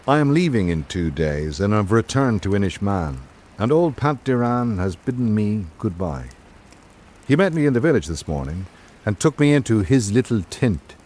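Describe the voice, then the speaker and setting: A man playing a reflective traveller, narrating an island visit in measured literary prose for a radio drama. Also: fairly low pitch, darkish tone.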